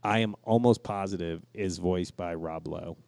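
A man's voice: deep voice, moderate pace, vocal fry, darkish tone, no echo. A man chatting casually as a podcast co-host in a crude, profane, joking way. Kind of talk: casual chat.

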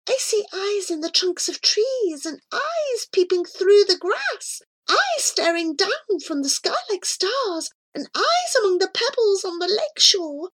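A woman voicing a small female animal companion in a storybook, marvelling in hushed, breathy wonder. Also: extremely high pitch, very animated, a little slow, hardly any pauses, pitched above the performer's own voice, heavily acted, rough recording. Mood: hushed awe.

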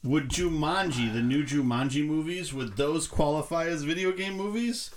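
A man's voice: fairly high pitch, normal speed. Rambling man in casual podcast chat. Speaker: podcast co-host.

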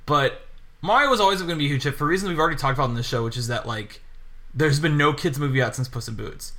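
A man's voice: on the high side, fast talker, bright, forceful tone. A young man in casual podcast chat, telling a scattershot story and laughing often. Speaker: podcast co-host.

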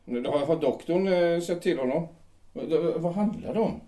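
An earnest, devout visitor who questions his hosts gently and steadily, as a man plays him. His voice is mellow and dark, and it carries a roomy echo. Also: fairly high pitch, close to an everyday voice. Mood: probing. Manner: measured and gentle, stammering when alarmed. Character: earnest, devout male visitor, calm and probing. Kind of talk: audio drama acting.

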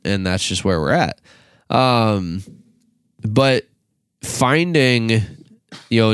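A man's voice: on the low side, clean tone, no echo, studio quality. A man in his late twenties, a youth pastor co-hosting a podcast, talking casually with many fillers and restarts. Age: 20s.

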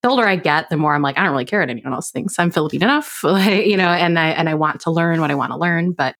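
A woman's voice: low-pitched, expressive, quick pace, a bit bright. A woman hosting a podcast, asking guests rambling, friendly questions. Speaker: podcast host. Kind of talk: casual interview chat.

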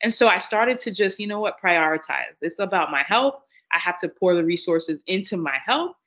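A woman's voice: on the low side, expressive, normal speed, fairly bright tone. A woman earnestly sharing her personal health story as a guest, reflective and conversational. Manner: earnest, reflective, many "like" fillers. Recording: dull lo-fi audio.

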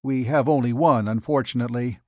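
A man voicing a cautious hospital physician who speaks in a hurried, apologetic way. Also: mid-range voice, no echo, lightly acted. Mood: worried.

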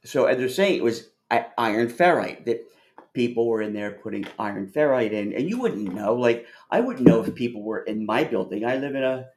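A man's voice: mid-range voice, lively intonation, a little fast, a little mellow, slight background noise. Blunt, profane man chatting casually. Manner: profane, blunt, rambling explainer. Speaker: host of a call-in discussion. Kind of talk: casual chat.

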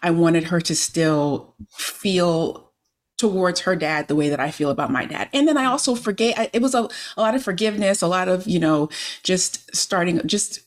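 Forties woman, slightly low voice, guest telling her life story reflectively. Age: 40s. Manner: reflective, halting with many restarts and fillers. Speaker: interview guest, coach. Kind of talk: conversational interview storytelling.

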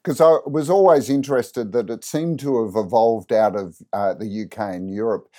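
A man's voice: fairly low pitch, moderate pace, warm tone. A man from Australia hosting an interview podcast, chatting easily and leading his guests with questions. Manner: conversational, leading questions with tag questions. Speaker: podcast host.

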